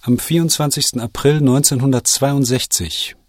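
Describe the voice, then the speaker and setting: A man guiding the listener through scripted narration, giving deliberate instructions as an audio walk narrator. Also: mid-range voice, fairly even intonation, no echo, studio quality.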